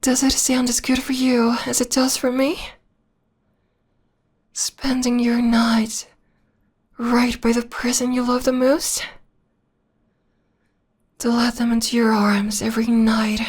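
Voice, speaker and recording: A possessive female vampire lover, acted by a woman for an ASMR roleplay, speaks in a breathy, whispery voice that sits fairly high. She is slow and lingering, clingy and tender, and her pitch stays very even as she dotes on her beloved. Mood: devoted longing.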